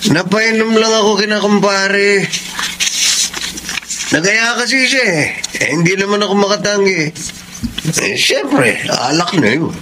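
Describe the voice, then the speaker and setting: A man's voice: high-pitched, animated, measured pace, pauses often, background noise. A man playing a selfish, dismissive boyfriend with vices, brash and irritable in a Tagalog radio drama. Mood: defensive.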